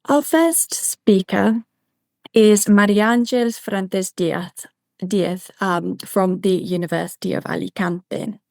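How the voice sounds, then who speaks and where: A woman with a gravelly, fry-edged voice at a middle pitch introduces the speakers at an online seminar. She reads their credentials politely and steadily. The sound is dry and close. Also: steady tone, a little slow, darkish tone, no echo.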